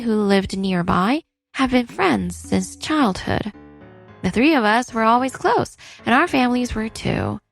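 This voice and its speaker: A woman's voice: fairly high pitch, vocal fry. A woman acting and narrating as a weary but outspoken wife in her thirties in a dubbed story. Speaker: narrator and lead character.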